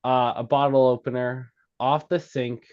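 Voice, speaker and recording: A man reading screenplay stage directions at a table read, halting and stumbling over words as he goes. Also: mid-range voice, flat delivery, clean tone. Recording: slightly dull, lo-fi sound.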